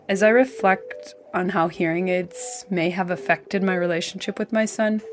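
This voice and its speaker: A woman reads her own essay as a parent, gentle and reflective. Her voice is on the low side. Soft music plays faintly behind her. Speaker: parent of a deaf son. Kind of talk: personal essay reading.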